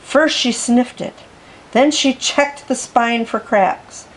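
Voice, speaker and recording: A woman reading a children's picture book aloud for storytime, with gentle, expressive narration. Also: a little high, slow pace, poor audio. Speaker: storytime reader.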